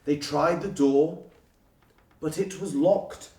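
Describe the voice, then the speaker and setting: A man reading a rhyming storybook as a theatrical, expressive storyteller. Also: on the high side, slow. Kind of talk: scripted rhyming storybook narration. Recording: roomy, distant from the microphone.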